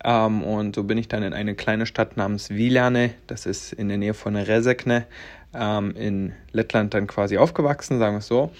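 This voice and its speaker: A man's voice: fairly low pitch, fairly even intonation, moderate pace. A man in his twenties hosting a personal podcast, talking earnestly and rambling with many fillers. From Germany, raised in Latvia. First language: German and Russian, bilingual. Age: 20s. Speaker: podcast host.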